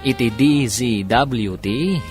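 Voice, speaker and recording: A man's voice: medium pitch, takes it slowly. A man with an Ilocano Filipino accent reading a dramatic scripted announcement for a radio drama. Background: music.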